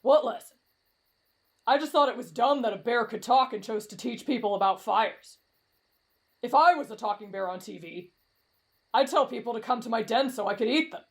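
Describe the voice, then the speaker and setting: A woman's voice: fairly high pitch, lively intonation, normal speed, pauses often. A woman voicing a brash, reckless teenage boy in an anime-style roleplay drama, cocky, blunt and dismissive. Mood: blunt, scornful. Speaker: voice actor in roleplay audio.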